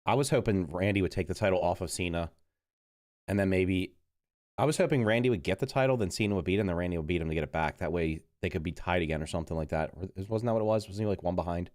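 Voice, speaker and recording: A middle-aged man with a deep, congested voice chats casually to his livestream audience. He rambles and trips over his own sentences. The sound is dry and close, with no room around it. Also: quick pace, a little mellow, no echo. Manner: rambling, self-correcting, mutters while busy. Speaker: livestreaming gamer. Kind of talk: casual live stream chat.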